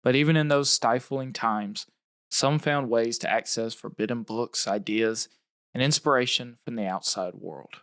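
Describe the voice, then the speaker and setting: A man hosting a podcast, reading scripted narration in a measured storytelling way. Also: medium pitch, fairly bright tone, dry recording, clean studio sound.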